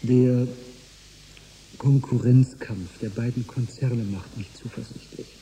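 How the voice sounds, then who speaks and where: An actor playing a calm, reassuring scholar aboard a spaceship in a German radio drama, speaking in a measured way. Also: male voice, medium pitch, a little hiss or hum, natural voice, poor audio. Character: calm, reassuring male historian-physicist on a spaceship.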